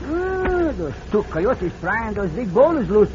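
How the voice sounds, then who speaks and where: An actor in an old radio western playing a childlike, excitable Mexican sidekick who speaks broken English and exclaims a lot. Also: male voice, high voice, slow pace, exaggerated voice. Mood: excited, dismayed. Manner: broken English, exclaiming, refers to himself by name. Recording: muffled, noisy vintage radio recording.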